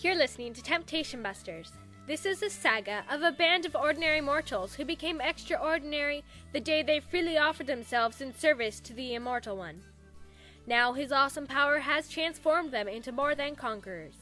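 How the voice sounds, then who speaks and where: A woman's voice: high voice, fairly quick, bright, forceful tone, soft background music. A woman announcer delivering a scripted, dramatic programme introduction.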